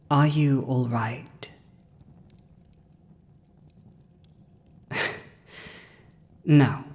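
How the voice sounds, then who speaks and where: A woman's voice: a bass voice, exaggerated voice. A woman playing a proud villainous dragon who has seized a human throne, speaking formal, archaic lines in a scripted audio roleplay. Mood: remorseful, subdued. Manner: formal archaic diction, haughty, deliberate.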